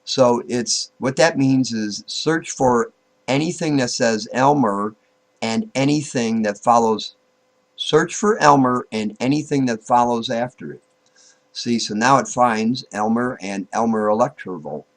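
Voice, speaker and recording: A man giving an informal, unscripted software tutorial, thinking aloud, halting and repeating himself as he goes. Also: medium pitch, gravelly, poor audio. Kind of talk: informal screen-recorded tutorial, unscripted walk-through.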